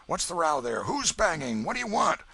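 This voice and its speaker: A man voicing a kindly, gruff old Welshman with a booming, fatherly manner. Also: expressive, moderate pace, fairly bright tone. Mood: alarmed.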